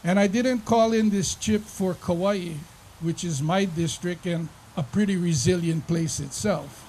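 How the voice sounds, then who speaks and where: A man with a fairly high voice delivers an impassioned public speech, building his points through repeated phrases. He speaks as a legislator at an official signing ceremony, and faint ambient noise sits under his voice. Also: a little slow, a little mellow. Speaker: state legislator giving a speech.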